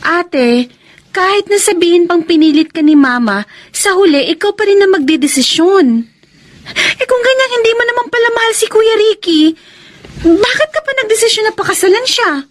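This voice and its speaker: An actress with a Filipino accent playing an earnest, frank younger sister in a radio romance drama. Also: female voice, very high voice, animated, normal speed. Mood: reproachful, pleading. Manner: probing, earnest, reproachful.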